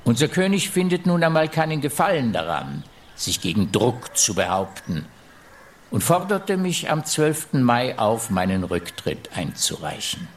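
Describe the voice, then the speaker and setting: An actor in a radio play voicing an aggrieved French royal minister reciting a letter in grave, measured, emphatic phrases. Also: male voice, mid-range voice, sing-song, slight background noise. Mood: bitter, resigned. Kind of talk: radio drama, letter read aloud.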